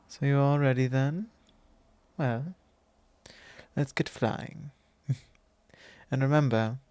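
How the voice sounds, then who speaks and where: A man voicing a doting, possessive vampire lord in an ASMR roleplay, with soft, genteel phrasing that slips into breathy whispers, close on the mic. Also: medium pitch, no room sound. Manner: soft, drawn-out, genteel old-fashioned phrasing. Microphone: close microphone.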